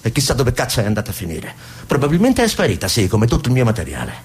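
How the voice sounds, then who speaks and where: An actor playing a weary, anguished cameraman who has died, in an emotional, insistent stage style with a husky voice. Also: mid-range voice, wildly swooping pitch, fast, slight background noise. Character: anguished, weary male war cameraman, speaking after death. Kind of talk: dramatic stage acting.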